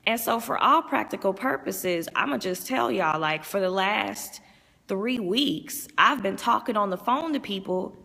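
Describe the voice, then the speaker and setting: Woman on a live vlog, deep gravelly voice, bit worn out, echoey hall. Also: lively intonation, a little slow, bright, forceful tone. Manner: chatty, rambling, reads viewer comments aloud. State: strained voice from overuse. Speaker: vlogger and music promoter. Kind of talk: casual live-stream chat.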